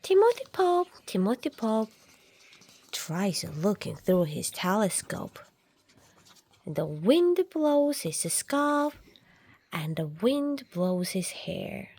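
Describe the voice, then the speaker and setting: A woman reading a picture book aloud to young children, dramatically, with drawn-out words and shifts between hushed and loud delivery. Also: medium pitch, very animated, breathy edge. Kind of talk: animated read-aloud storytelling for young children.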